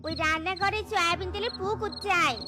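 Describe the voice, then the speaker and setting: Super high squeaky cartoon wife, greedy and naggy, loud music under it. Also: female voice, brisk. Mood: threatening, demanding. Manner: scolding, insistent. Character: bossy, greedy cartoon wife, threatening and demanding. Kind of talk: cartoon character acting.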